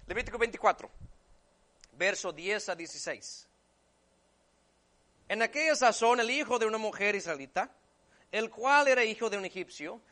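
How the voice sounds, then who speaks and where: A male preacher with a high, bright voice delivers a sermon as a native English speaker. He is emphatic, repeating phrases for weight. His delivery moves between hushed asides and forceful declarations. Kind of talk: sermon with scripture reading.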